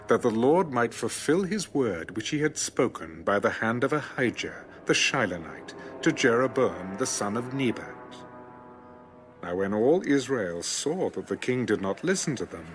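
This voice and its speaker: A man gives a solemn, dramatic scripture narration. His mid-pitched voice moves expressively with measured storytelling, and clear music plays behind. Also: sing-song. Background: background music.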